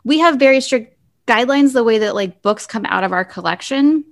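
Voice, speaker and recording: A woman's voice: fairly high pitch, lively intonation, a little fast. A woman co-hosting a podcast, talking in a casual conversational way with a panel. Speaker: library podcast co-host. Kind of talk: casual panel chat, introducing a news item.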